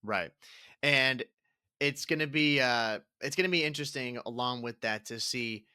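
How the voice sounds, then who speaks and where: Bright-voiced guy getting over a cold. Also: medium pitch, moderate pace, clean tone, no echo, studio quality. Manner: laid-back, chuckling, says "bro". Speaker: podcast co-host. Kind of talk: casual podcast chat.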